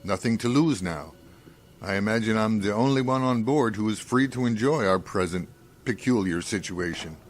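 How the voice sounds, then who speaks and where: A man playing a wry, detached scientist in a science fiction radio drama, musing dryly and deliberately. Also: on the low side, a little hiss or hum, natural voice. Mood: bitterly wry. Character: wry, detached, brooding male scientist doctor on a space crew.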